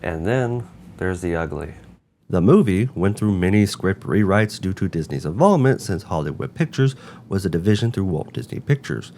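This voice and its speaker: A man's voice: low voice, lively intonation, moderate pace, darkish tone, slight background noise. A man narrating a scripted review as host, with emphatic, rhetorical phrasing. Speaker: host of a video game movie review show.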